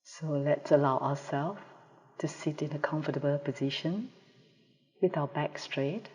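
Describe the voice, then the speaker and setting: A woman leading a guided meditation as a teacher, calm and measured, with pauses between phrases, recorded over a live video call. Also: low voice. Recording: reverberant hall-like sound, live video call.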